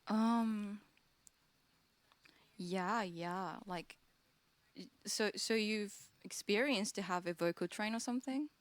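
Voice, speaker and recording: A woman musician chatting as a guest, talking haltingly and searching for words with frequent giggles. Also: medium pitch, no echo. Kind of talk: casual interview chat.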